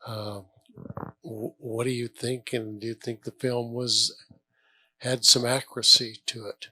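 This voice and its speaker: A man works as an interviewer, putting questions casually in a bright voice of average pitch. A slight hum sits behind him. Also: flat delivery, a little slow.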